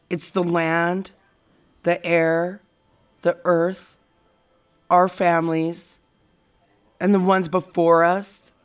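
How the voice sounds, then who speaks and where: A serious, reflective woman talks to a friend in an audio drama. Her voice sits a little low and she takes her time, placing words deliberately. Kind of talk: naturalistic audio drama dialogue.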